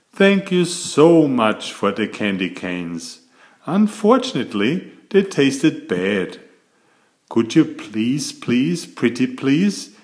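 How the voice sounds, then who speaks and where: A man reading a children's picture book aloud in slow, expressive storybook narration. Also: mid-range voice.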